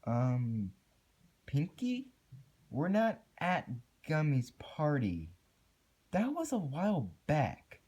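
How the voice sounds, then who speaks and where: A woman's voice: sits low, huge pitch swings, very slow, mellow, husky, voice pushed up, exaggerated voice. A woman voicing an earnest, anxious and confused female cartoon pony, hesitant and worried, in a horror story reading.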